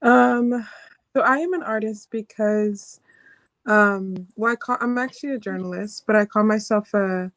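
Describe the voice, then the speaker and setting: A woman's voice: medium pitch, measured pace, dry recording. A woman speaking as a panelist about her own work, hesitantly searching for words. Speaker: panelist, journalist. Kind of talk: personal explanation in a panel introduction.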